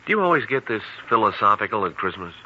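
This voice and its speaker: An actor playing a curious, friendly hospital intern in a 1940s radio drama, on a muffled, hissy old recording. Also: male voice, fairly low pitch, very animated, fast talker. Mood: curious, casual.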